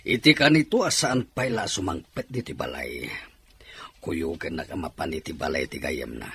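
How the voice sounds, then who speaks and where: An actor playing a stern, exasperated middle-aged father in a radio drama, grumbling and commanding in a gravelly voice. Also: sits low, wildly swooping pitch, moderate pace, sharp tone, exaggerated voice. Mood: angry, determined. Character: stern, exasperated father determined to marry off his daughter.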